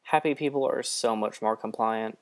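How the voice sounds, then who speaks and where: A man's voice: fairly low pitch, normal speed, voice pushed up, close to an everyday voice. Man playing a smitten, grinning trickster. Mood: flirty, teasing. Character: smitten, grinning male trickster companion with fur. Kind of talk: radio-play story reading.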